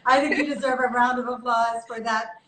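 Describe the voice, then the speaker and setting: A woman's voice: fairly high pitch, steady tone, a little fast, fairly bright tone. A woman hosting a chatty live online interview in a playful, teasing, enthusiastic way. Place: indoor room, online video call. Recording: echoey room with background noise.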